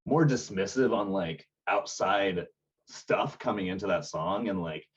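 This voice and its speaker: A man's voice: deep voice, measured pace, breathy edge, dull audio. A young man and band vocalist chatting casually on a podcast, rambling and laughing easily. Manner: relaxed, rambling, laughs often.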